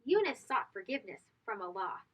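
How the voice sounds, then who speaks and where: A woman's voice: medium pitch, big pitch swings, a little fast, husky. A woman reading a children's storybook aloud, expressively and speaking directly to young listeners. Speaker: host of children's read-aloud show.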